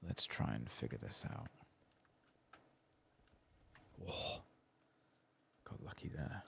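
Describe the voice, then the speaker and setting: Really low gravelly guy doing soft spoken asmr gaming, mumbling to himself, voice moves around a lot, bit of background noise. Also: expressive, measured pace. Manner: murmuring, musing to himself. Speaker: host of a gaming ASMR channel. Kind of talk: soft-spoken, whispered ASMR gameplay commentary.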